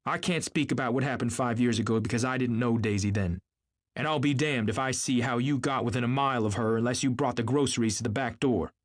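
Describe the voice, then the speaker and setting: A man's voice: mid-range voice, expressive, brisk, voice pushed up, lightly acted. Man acting a pompous, blustering rich husband. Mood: angry, blustering. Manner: snapping, pompous, incredulous. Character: arrogant, indignant, blustering wealthy husband, a grown man.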